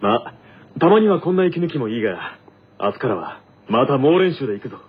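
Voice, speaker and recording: A man's voice: medium pitch, sing-song, fairly quick, breathy edge, phone-quality sound. Man playing stern, earnest teenage tennis captain. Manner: declarative, commanding, self-serious. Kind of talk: radio drama skit acting.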